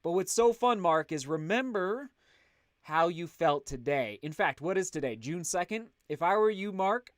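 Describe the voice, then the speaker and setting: A man hosting a casual livestream, chatting upbeat and loud while reading out viewer comments. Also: sits high, lively intonation, normal speed, no room sound, studio quality. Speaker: livestream host.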